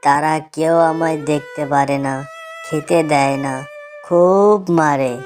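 A woman's voice: low-pitched, a little slow, darkish tone, soft background music. A woman voicing a pleading, mistreated little village boy in a cartoon. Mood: sorrowful. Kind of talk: Bengali cartoon dialogue.

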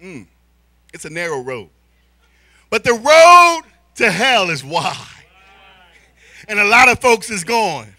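A middle-aged American man delivers a sermon in a very bright, forceful voice. His delivery is slow and theatrical, stretching key words for emphasis. The pitch moves in lively sweeps as he tells stories to his congregation. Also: no echo. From born in Spain, American military family. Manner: animated, theatrical, drawn-out emphasis, swinging from quiet asides to shouts. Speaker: church elder preaching. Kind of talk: sermon with storytelling and call-and-response to congregation. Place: large church hall, indoors. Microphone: handheld microphone.

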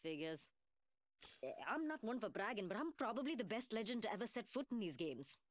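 A woman's voice: on the high side, sing-song, a little fast. An actress voicing a cocky, mocking young woman gunsmith in a video game, with a British working-class London accent and a cheeky, sarcastic manner. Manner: taunting, slangy, sarcastic. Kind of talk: video game character voice lines.

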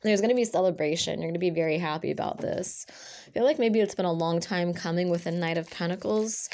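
A woman with a raspy voice and a lingering cough, reading tarot cards to camera in a casual chat and half-whispering card names as she lays them out. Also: fairly low pitch, fast, darkish tone. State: recovering from a cough. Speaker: tarot reader. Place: indoors at a table with a purple cloth. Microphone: camera over her hands, speaker off-frame.

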